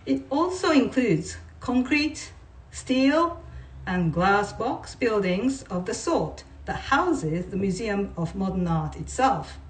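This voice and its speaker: A woman reading non-fiction aloud in a measured, deliberate way, like a writer presenting a text. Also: medium pitch, animated. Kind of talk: read-aloud of non-fiction with brief informal asides. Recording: voice distant from the mic.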